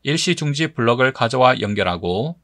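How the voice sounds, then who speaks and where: A man giving scripted tutorial narration as an instructor, explaining step by step in a measured manner. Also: medium pitch, lively intonation, a bit bright, dry recording, clean studio sound.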